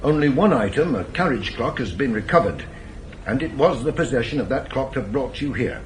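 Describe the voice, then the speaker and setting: A formal male voice of middle pitch rises and falls as a pompous, stern magistrate played by an actor. The measured courtroom delivery comes from 1980s British radio drama, with faint tape noise. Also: animated, close to an everyday voice. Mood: solemn, official.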